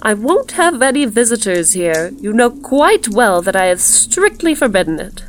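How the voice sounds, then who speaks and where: A woman's voice: on the high side, big pitch swings, slow, a little mellow. Female reader as ghoulish old-world husband: thin voice, rigid, forbidding. Gothic tale, faint noise. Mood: stern, forbidding. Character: stern, blindfolded, silver-skinned leprous husband.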